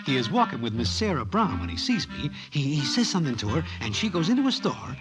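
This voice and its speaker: A man's voice: fairly high pitch, wildly swooping pitch, fast talker, music in the background, poor audio. A man playing a wry, streetwise Broadway narrator in an old-time radio drama, using stilted formal slang. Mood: wry storytelling. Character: wry Broadway guy telling his gambling tale, streetwise and formal.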